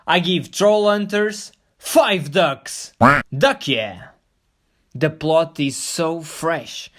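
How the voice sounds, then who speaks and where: A man's voice: mid-range voice, fairly bright tone. A man hosting a scripted video review, playful and full of jokey asides. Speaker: host of a review show.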